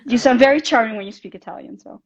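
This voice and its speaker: A woman from the Netherlands with a Dutch accent, guesting on a fan live stream over a video call, friendly and quick to laugh. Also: a little high. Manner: friendly, laughs often, soft-spoken. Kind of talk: casual chat. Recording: muffled video call audio.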